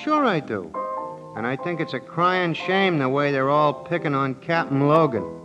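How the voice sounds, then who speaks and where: An actor playing a complaining, folksy bartender in 1940s radio drama. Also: male voice, on the high side, lively intonation, moderate pace, lo-fi sound, natural voice. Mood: curious. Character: grumbling, comic, penny-pinching bartender, plain working man. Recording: old radio recording with music.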